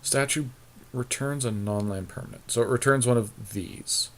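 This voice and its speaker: A man co-hosting a podcast, chatting casually and thinking aloud in halting, repetitive phrases as he works through card choices. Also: medium pitch, slight background noise. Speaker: podcast co-host. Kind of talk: casual chat while building a card game deck.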